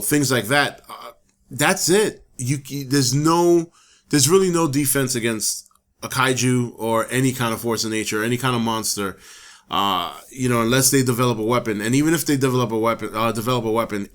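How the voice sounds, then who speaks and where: A man with a hoarse voice hosting his own talk show, thinking aloud in a casual, rambling way. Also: mid-range voice, a bit bright. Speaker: host. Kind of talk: casual solo talk to camera.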